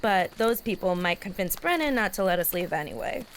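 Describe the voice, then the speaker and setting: A woman's voice: mid-range voice, animated, brisk, slight background noise, lightly acted. A woman playing a frank, troubled teenage girl in a naturalistic audio drama, speaking candidly and casually. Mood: matter-of-fact. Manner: candid, colloquial, mildly profane. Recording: faint outdoor night ambience with crickets.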